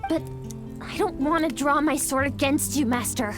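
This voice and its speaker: An actress playing a grim, determined young female sword apprentice, speaking in a quiet, restrained and resolute way. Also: high-pitched, normal speed, music in the background, close to an everyday voice. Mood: grave. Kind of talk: game cutscene voice acting.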